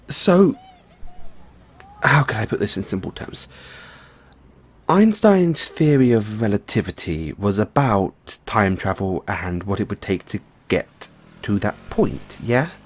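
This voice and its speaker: A man playing a nervous, geeky mad scientist boyfriend in an audio roleplay, explaining things haltingly to his partner. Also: on the low side, lively intonation, slightly rough, heavily acted. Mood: sheepish, awkward. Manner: hesitant, stammering, explaining at length. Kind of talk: audio roleplay acting, addressing the listener as partner.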